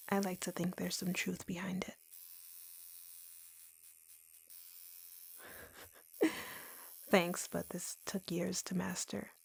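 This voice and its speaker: Woman acting a breathy, slow-talking lovesick yandere. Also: fairly low pitch, full character voice, poor audio. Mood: bashful, fond. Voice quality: soft, breathy, whispery. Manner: slow, intimate, halting confiding. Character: lovesick, obsessive yandere woman tattoo artist. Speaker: roleplay voice performer. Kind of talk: intimate girlfriend roleplay audio, POV.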